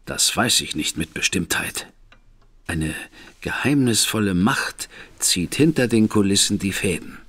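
Casting: a grave, formal gentleman in a radio play, low husky voice, lively intonation. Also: heavily acted. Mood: grave, earnest. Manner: measured, formal and earnest. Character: grave, guarded detective's brother, reserved gentleman. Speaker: Mycroft Holmes.

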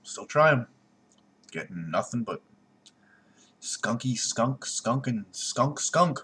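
A man's voice: medium pitch, expressive, slow pace, husky. A man, reviewing a beer to a webcam at home in a blunt, sarcastic way. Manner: blunt, sarcastic, jumpy in loudness. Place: small room at home, indoors. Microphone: webcam at desk.

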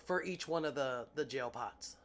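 A woman's voice: extremely low pitch, moderate pace. A woman, congested and sniffly from illness, chatting casually to her viewers while she unboxes nail gel at her desk. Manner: chatty, self-amused, talks to viewers as "y'all". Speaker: nail artist vlogger. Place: home nail desk, indoors.

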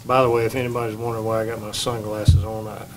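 An older man, a baseball head coach, answering questions at a postgame press conference in a plain, measured way at a table microphone. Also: lowish voice, flat delivery, slight background noise. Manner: measured, plain-spoken, many restarts. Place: press room. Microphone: table mic.

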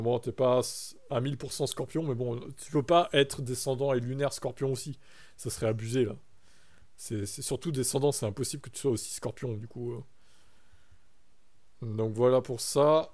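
A man's voice: medium pitch, a little mellow. A young man from France chatting casually on a live stream, reacting to his chat and reading text aloud.

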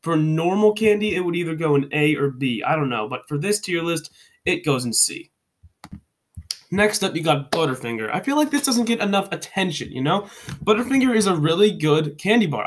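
A man's voice: on the high side, expressive, fairly quick. Congested young guy ranking candy. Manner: joking, rambling, self-correcting. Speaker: vlogger. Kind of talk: casual ranking commentary. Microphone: webcam.

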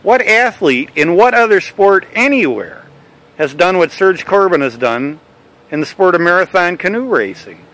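A man interviewing a canoe racer, building his questions slowly and rambling a little. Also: high voice, sing-song, fairly bright tone, rough recording.

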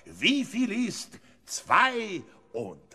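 An actor playing an excitable male circus ringmaster in a 1970s children's radio play, with grandiose, theatrical showman's patter. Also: high-pitched, drawn-out words, husky, heavily acted. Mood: teasing showman. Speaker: circus announcer.

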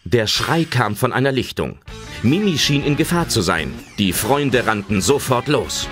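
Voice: medium pitch, expressive, a little slow, music in the background, lightly acted. A narrator telling a children's adventure audio drama in dramatic scripted narration.

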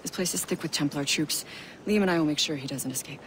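An actor playing an assassin ally who gives a brisk, businesslike briefing. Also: medium pitch, a bit bright, slight background noise, close to an everyday voice.

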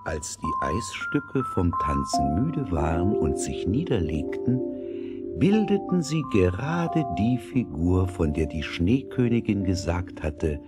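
A man narrating a scripted fairy tale in a measured, expressive storytelling manner. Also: low voice. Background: music.

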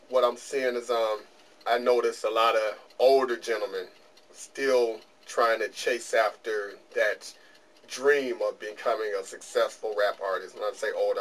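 A middle-aged man hosting a small interview show, asking questions in a plainspoken, joking way. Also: lowish voice. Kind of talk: casual interview. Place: small office studio. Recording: distant camera mic with faint room noise.

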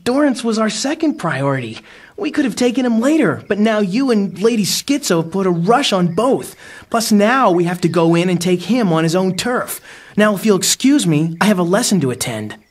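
A man's voice: high voice, very animated, moderate pace. A man playing an earnest, determined teenage boy hero in a comic audio drama, youthful and principled. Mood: defensive. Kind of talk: dramatized comic audio acting and inner narration. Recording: faint noise with clicks.

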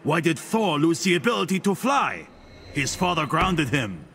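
A man's voice: on the high side, big pitch swings, moderate pace, fairly bright tone, a little hiss or hum. A man voicing a haughty, smugly witty god sage in a video game, with a pompous, theatrical delivery. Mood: smug mocking. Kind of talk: video game character voice lines.

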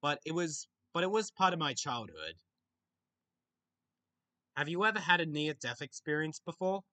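A young man with an Australian accent, chatting casually on a webcam in his bedroom as he answers viewer questions, often pausing and restarting to think. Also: fairly high pitch, animated, bright, forceful tone. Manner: halting, with frequent restarts and pauses to think. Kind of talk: casual Q&A chat. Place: small bedroom, indoors.

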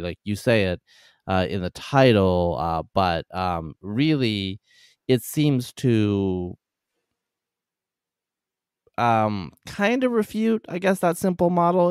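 Low-voiced male podcast host, smooth. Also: a little mellow, no echo, studio quality. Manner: hesitant, many fillers and restarts. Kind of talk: casual interview.

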